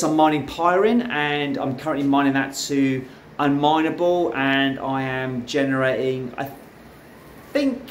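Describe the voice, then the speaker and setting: An Australian man giving a casual, chatty walkthrough of his home mining setup, with plenty of fillers and restarts. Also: mid-range voice. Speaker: crypto mining channel host. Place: home room full of mining rigs, indoors. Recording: echoey room with faint fan hum.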